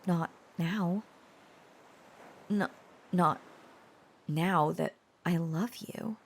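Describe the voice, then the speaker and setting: A woman acting as a tender, protective dragon girl in a romantic audio roleplay speaks very slowly and haltingly. Her voice is on the low side with a creaky edge, often softening toward a whisper. There is faint noise behind her. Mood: nervous, confessing. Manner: hesitant, halting, earnest, often dropping to breathy whispers. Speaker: voice actor.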